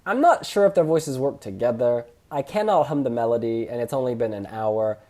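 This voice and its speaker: A man hosting a casual review chat, sharing opinions in an expressive way with emphatic phrasing. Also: medium pitch, brisk. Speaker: host reviewing song contest entries.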